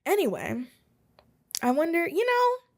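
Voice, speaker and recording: A woman's voice: very high voice, sing-song, unhurried. A woman in her twenties hosting a casual solo podcast from her home office, rambling and self-deprecating with chuckles and asides. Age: 20s. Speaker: podcast host.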